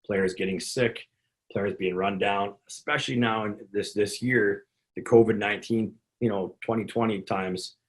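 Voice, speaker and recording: A man with a gravelly voice, a hockey conditioning coach giving an instructional talk to other coaches, rambling with repeated words and restarts. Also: on the low side.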